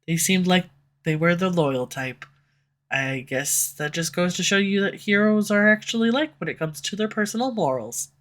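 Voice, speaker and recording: Woman doing a snarky villain, bright edgy voice, sarcastic teasing all the way through. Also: on the low side. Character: snarky sharp-tongued villain, slightly tsundere. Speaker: audio roleplay voice actor. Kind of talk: scripted audio roleplay. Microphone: USB condenser mic.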